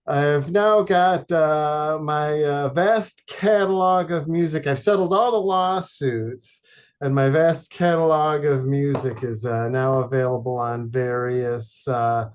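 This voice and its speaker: A man's voice: fairly high pitch, smooth. Rambling man from Detroit. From Detroit, Michigan. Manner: rambling, halting, lots of fillers and deadpan jokes. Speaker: podcast guest. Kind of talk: casual chat.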